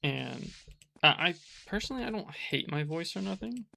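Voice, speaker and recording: A man giving casual gameplay commentary from his apartment, in a flat, restrained voice that he keeps low so he doesn't wake the neighbors, rambling with frequent restarts. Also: normal speed, gravelly, slight background noise. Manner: monotone, kept quiet. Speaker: gaming channel host.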